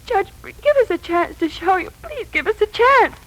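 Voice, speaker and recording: A woman's voice: extremely high pitch, very animated, moderate pace, rough recording. An actress playing a devoted, emotional adoptive mother in the earnest style of 1940s radio drama. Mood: pleading, indignant. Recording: old 1940s radio recording.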